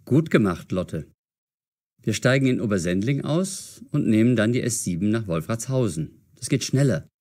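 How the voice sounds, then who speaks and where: An actor playing an alert, excitable young man in an audio drama. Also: on the low side, moderate pace. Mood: pleased, practical.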